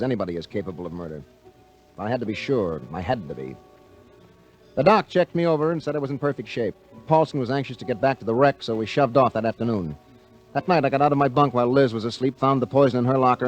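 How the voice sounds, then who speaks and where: An actor playing a suspicious, brooding American diver, terse and tough, in a 1950s radio drama. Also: male voice, medium pitch, lightly acted, poor audio. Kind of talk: 1950s radio drama acting with first-person narration. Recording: vintage radio broadcast with music.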